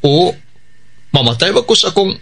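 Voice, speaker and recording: An actor playing a devout working father with a Bisaya Filipino accent, gently giving advice in a radio drama. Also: high-pitched, big pitch swings, normal speed, vocal fry, breathy edge, exaggerated voice. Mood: solemn. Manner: gently admonishing, earnest.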